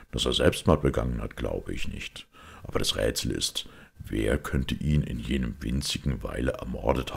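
A man plays a shrewd priest detective in an audiobook, extremely deep and full of creak. He muses calmly and deliberately, with quiet irony and a very husky grain. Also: heavily acted. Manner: deliberate, reasoning, quietly ironic. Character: calm, shrewd priest detective, musing and mild.

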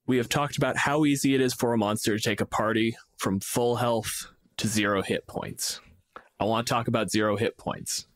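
A man's voice: mid-range voice, steady tone, normal speed, no echo. A man from Canada co-hosting a podcast, explaining and arguing his points casually with some swearing. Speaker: podcast co-host and game master.

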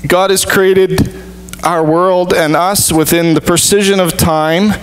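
A man in his thirties, a pastor, preaching an earnest sermon with measured, deliberate pauses from a church stage. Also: sits high, fairly even intonation, clean tone, poor audio.

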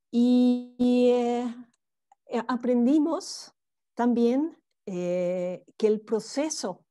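Slow mellow lady on a webinar panel, hesitant, lots of ehs. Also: mid-range voice. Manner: hesitant, many fillers. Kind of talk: webinar presentation.

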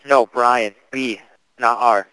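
Clear-voiced young man making a prank call. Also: mid-range voice, fairly even intonation, slow pace, pushed and bright, no room sound, poor audio. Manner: slangy, mock-earnest customer questioning. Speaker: prank caller. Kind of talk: casual prank phone call.

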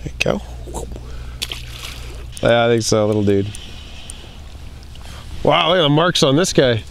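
A man's voice: medium pitch, expressive, measured pace, gravelly. A man in his thirties hosting a fishing vlog with casual, playful, exclamatory commentary, recorded outdoors on a small boat.

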